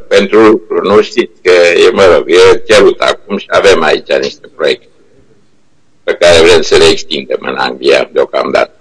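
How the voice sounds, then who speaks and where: An older man reminiscing informally over a video call, speaking haltingly as he searches for words. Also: extremely low pitch, breathy edge, rough recording. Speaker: internet company co-founder, remote guest speaker. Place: remote video call played to a meeting room. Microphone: video call shown on projection screen.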